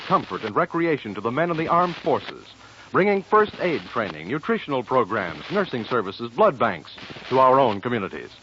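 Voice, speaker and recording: A man's voice: medium pitch, sharp tone. An American man announcing in a formal, earnest, scripted 1940s radio style. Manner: formal, earnest, measured phrasing. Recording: muffled, faintly noisy 1940s radio recording.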